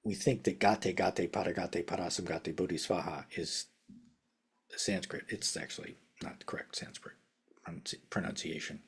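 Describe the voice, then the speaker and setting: An older man teaching casually over a video call from his home study. His talk rambles, with restarts, chuckles and phrases that trail off softly. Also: deep voice, fairly even intonation, normal speed, vocal fry, slightly rough. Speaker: meditation teacher. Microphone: video call webcam.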